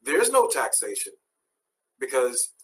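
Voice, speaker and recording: A man's voice: mid-range voice, huge pitch swings, takes it slowly, fairly bright tone, a little hiss or hum. A man who is a guest on a talk show, explaining his views in an assertive, lecturing way.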